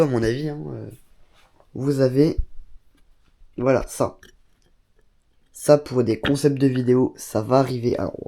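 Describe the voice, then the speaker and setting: A man's voice: medium pitch, a little mellow. A man from Bordeaux with a cold, showing off his home studio in a chatty, enthusiastic vlog full of fillers and asides. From Bordeaux, France. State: has a cold. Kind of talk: casual vlog tour. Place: small home studio room.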